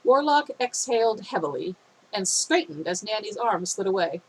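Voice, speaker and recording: A woman reading a fan fiction story aloud in a steady, expressive way, her voice a little phlegmy. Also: mid-range voice, moderate pace, husky, low-quality recording.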